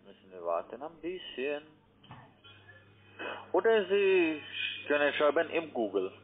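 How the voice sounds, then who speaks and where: A man with a fairly high, lively voice struggles through broken German on a tinny phone line. He hesitates and repeats himself. The sound is narrow and phone-like. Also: unhurried. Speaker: phone scammer.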